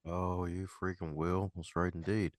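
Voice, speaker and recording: A man's voice: low-pitched, level tone, moderate pace, no room sound, clean studio sound. A man hosting a casual interview podcast, jokey and folksy, peppering his talk with stock affirmations and slang. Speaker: podcast host. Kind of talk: casual interview chat with playful asides.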